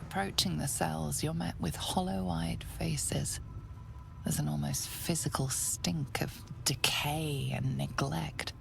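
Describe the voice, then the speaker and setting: An actress playing a grave, measured storyteller, narrating a dark fantasy video game in an ominous manner. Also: female voice, sits low, steady tone, vocal fry, background noise, heavily acted. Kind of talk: scripted second-person narration.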